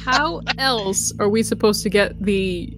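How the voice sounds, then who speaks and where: A woman's voice: medium pitch, unhurried, fairly bright tone, a little hiss or hum. A woman playing a tabletop game and chatting casually with the group.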